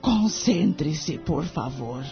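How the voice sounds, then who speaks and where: A woman playing a kindly, humble older medium in an audio drama, with a Brazilian accent and a gentle, devout way of speaking. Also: deep voice, expressive, gravelly, exaggerated voice. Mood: gentle, serene. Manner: gentle, devout, unhurried speech. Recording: dull lo-fi audio with music behind.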